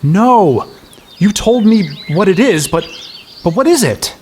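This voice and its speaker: An actor playing an inquisitive, cheeky young apprentice in an audio drama, questioning and impatient. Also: male voice, sits high, very animated, slow pace, a little mellow, a little hiss or hum, exaggerated voice. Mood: curious, frustrated.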